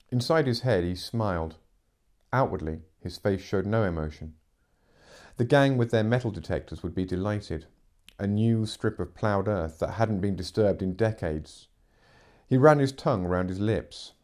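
A man's voice: lowish voice, darkish tone. A middle-aged man reading fiction aloud as a narrator, in dramatic audiobook style. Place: living room, seated in armchair.

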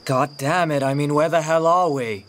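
A man's voice: a little high, fairly even intonation, moderate pace, fairly bright tone, lightly acted. A man playing a weary traveler in a radio drama, speaking in a casual, everyday way. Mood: annoyed. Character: weary, polite male traveler.

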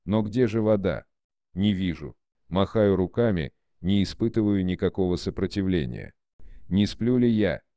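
A man's voice: low voice, normal speed, dark tone, dry recording, clean studio sound. A man reading narrative prose aloud in short first-person sentences.

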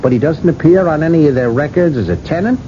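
A man acts a baffled investigator in a radio drama. His high, mellow voice moves around with lively intonation, respectful and earnest. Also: quick pace, close to an everyday voice. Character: baffled, deferential male investigator working for tycoon. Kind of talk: 1980s radio drama acting. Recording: muffled, noisy old radio recording.

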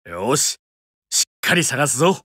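An actor playing a friendly, earnest muscular adventurer in an anime mobile game, gentle and upbeat in manner. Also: male voice, medium pitch, sing-song, a little slow, breathy edge, dry recording, clean studio sound, full character voice. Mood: cheerful, keen.

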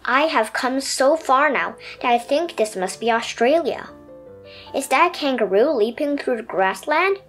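A woman voicing a dreamy, adventurous little pet turtle in an expressive storybook telling. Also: high voice, a little slow, faint music behind. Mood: dreamy, wondering.

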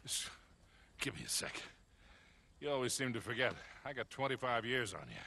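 Game mentor: older American, gruff, folksy, loyal grumbler, animated bright delivery. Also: male voice, medium pitch, moderate pace. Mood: weary. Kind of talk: naturalistic video game cutscene acting.

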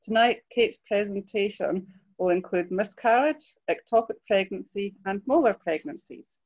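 A woman's voice: medium pitch, moderate pace. Woman host introducing a speaker. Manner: polite, formal. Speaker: webinar host. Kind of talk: scripted introduction. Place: home room, video call. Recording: slightly dull video call audio.

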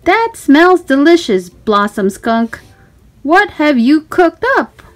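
A woman storytime host reading a picture book aloud for children, with expressive, sing-song questions and exclamations. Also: high voice, takes it slowly.

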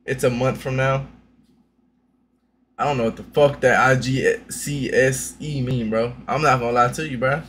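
A man's voice: mid-range voice, steady tone, normal speed, fairly bright tone, slight background noise. A young man streaming a reaction on a headset, brash and profane, full of loose slang. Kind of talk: reaction commentary.

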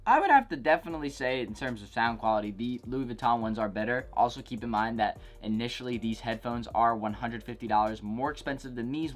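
A young man hosting a casual product review vlog from his bedroom couch, chatty and jokey, full of "like" and "go ahead". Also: medium pitch. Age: young adult. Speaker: tech reviewer, channel host.

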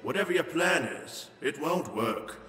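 A man's voice: on the high side, animated, pushed and bright. A man playing a gloating villain warlord. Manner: slow, grandiose, gloating with cackling laughs. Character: menacing male warlord villain, gloating, grandiose. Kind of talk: fan audio drama acting. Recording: roomy echo with long reverb and music.

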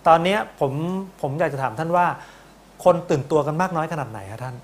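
Male current-affairs host, middle-aged, slightly high voice, polite studio interviewing. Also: expressive, a little fast. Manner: polite, frequent backchannel acknowledgements.

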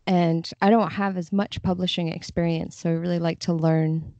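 Female podcast guest, mellow and on the low side, conversational, slightly muffled recording. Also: level tone, normal speed, dry recording. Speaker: podcast guest, freelance translator. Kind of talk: casual interview chat.

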